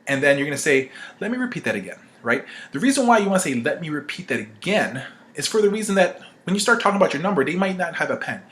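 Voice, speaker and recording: A man's voice: fairly high pitch, very animated, fast talker, fairly bright tone. A man giving an informal instructional marketing-training talk, conversational and emphatic, often checking in with "right?" as he makes his points. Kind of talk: informal instructional talk with acted-out example calls.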